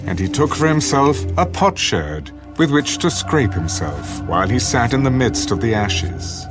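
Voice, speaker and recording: Measured male scripture narrator over music. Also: medium pitch, sing-song. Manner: solemn, storytelling.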